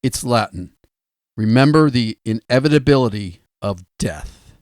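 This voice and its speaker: A man plays a principled, indignant prosecutor in a radio courtroom drama. He is measured and formal with an expressive pitch, recorded very dry. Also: dry recording, close to an everyday voice. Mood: stern. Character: earnest, grave prosecuting attorney, principled and indignant.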